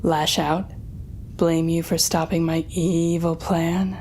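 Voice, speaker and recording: A woman's voice: low-pitched, flat delivery, takes it slowly, dark tone, slight background noise. A woman playing a weary, sardonic telepathic supervillain in an audio roleplay, speaking wryly and dramatically straight to one listener. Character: weary sardonic female telepathic supervillain, bitter yet fair.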